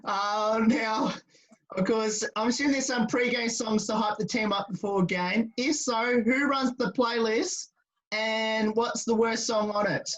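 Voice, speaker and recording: A woman's voice: on the high side, fairly even intonation, measured pace, rough recording. Woman interviewer asking hesitant questions. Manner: hesitant, filler-heavy questioning. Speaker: radio interviewer.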